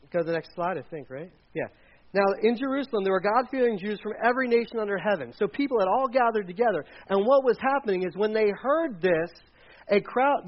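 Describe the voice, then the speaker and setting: A man with a fairly high voice delivers a sermon in a loose, conversational way, often restarting his sentences and acting out the people in the passages he retells. The recording sounds a little dull and lo-fi. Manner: conversational, retells scripture with acted quotes and restarts. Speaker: pastor. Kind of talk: sermon with slides.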